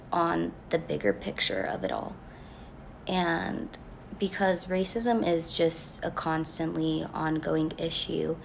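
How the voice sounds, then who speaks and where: A young woman, a college student, reading her class project reflection in an earnest, halting way with pauses. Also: sits low, gravelly, slight background noise. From Mexican family background. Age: young adult.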